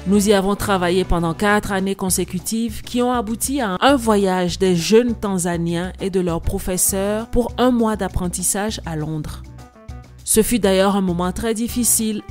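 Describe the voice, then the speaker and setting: A woman giving a steady voice-over translation of an interview. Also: lowish voice.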